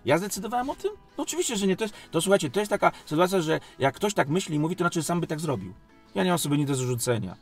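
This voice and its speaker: A man's voice: on the high side, very animated, rapid-fire, soft background music. A man giving unscripted interview answers as a sports executive, emphatic and defensive, repeating phrases and posing rhetorical questions. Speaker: sports league company president and club coach.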